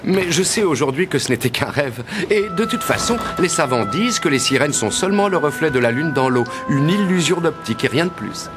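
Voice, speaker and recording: An actor voicing an earnest, affectionate young prince in an animated fairy tale, with a tender, theatrical delivery. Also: male voice, on the high side, fairly quick, few pauses, close to an everyday voice. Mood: dismissive, wistful. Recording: music under the dialogue.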